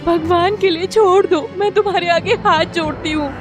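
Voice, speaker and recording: A woman playing an anxious young wife in an animated horror story. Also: very high-pitched, moderate pace, music in the background. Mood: desperate pleading. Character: anxious, pleading young wife, high-voiced. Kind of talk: Hindi animated story voice acting.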